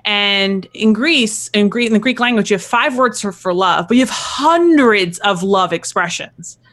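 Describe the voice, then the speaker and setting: A woman's voice: mid-range voice, lively intonation, moderate pace, a bit bright. A woman in her thirties, a matchmaker on a podcast, chatting casually and explaining with stories. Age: 30s. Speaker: matchmaker guest.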